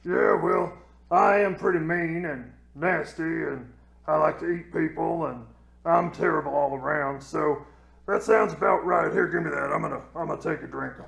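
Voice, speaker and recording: A man voicing a dim, boastful, tipsy Cyclops monster in a slow, self-satisfied way, for a comic story skit. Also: on the high side, creaky, a bit bright, pitched above the performer's own voice. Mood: smug, casual. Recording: roomy echo.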